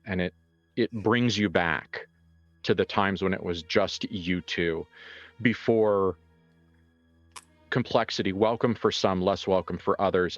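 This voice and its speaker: A man narrating as game master of a tabletop game, with halting, deliberate phrasing. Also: sits low, no echo. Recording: dull audio with music underneath.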